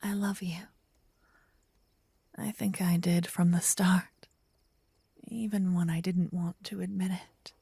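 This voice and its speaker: A woman playing a gentle, possessive, lovestruck vampire in a soft, intimate, breathy ASMR whisper, close to the ear. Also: on the low side, no room sound, heavily acted. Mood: loving, confessional. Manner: intimate, slow, teasing. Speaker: ASMR roleplay performer. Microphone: close binaural ear-to-ear microphone.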